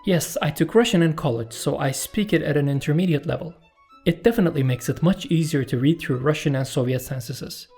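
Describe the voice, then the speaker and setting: A Turkish man, a native Turkish speaker, hosting his channel and reading out viewer questions and his answers in a calm, measured voice-over. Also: a little high, husky, soft background music. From Turkey. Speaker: YouTube channel host. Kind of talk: scripted Q&A voice-over.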